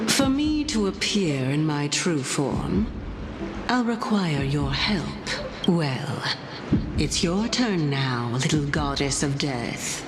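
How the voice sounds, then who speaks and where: A woman's voice: low-pitched, very animated, takes it slowly, noisy background, heavily acted. An actress playing a mysterious, teasing, elegant woman in a video game, composed and leisurely, with music behind her. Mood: coolly amused. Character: Lady Calypso, mysterious sly teasing woman, elegant and enigmatic. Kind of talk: scripted video game acting.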